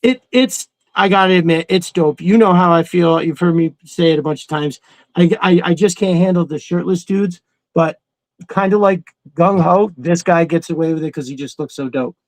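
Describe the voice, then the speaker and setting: A man's voice: a little high, normal speed, no room sound. A man hosting a casual livestream, rambling in a slangy, enthusiastic way. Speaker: host of a toy collecting livestream. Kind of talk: casual chat.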